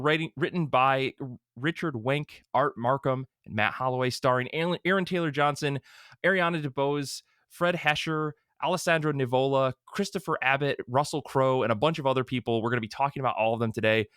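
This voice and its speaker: A man's voice: medium pitch, brisk, a bit bright, no room sound, studio quality. A man hosting a podcast with casual, joking banter, often cutting himself off mid-sentence. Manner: jokey, rambling, self-interrupting. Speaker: podcast host. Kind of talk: casual chat.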